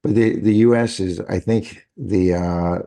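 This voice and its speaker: A man's voice: deep voice, fairly even intonation, a little slow, creaky, dark tone. A man hosting a podcast, speaking emphatically and thinking aloud as he builds his point. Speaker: podcast host. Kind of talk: podcast conversation.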